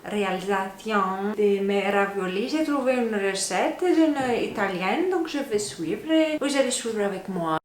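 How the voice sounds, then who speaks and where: A woman's voice: medium pitch, unhurried, few pauses, a little hiss or hum. A woman in her home kitchen making a cooking vlog, with a playful, self-mocking way of talking. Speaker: cooking vlogger. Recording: echoey room with background music. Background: background music.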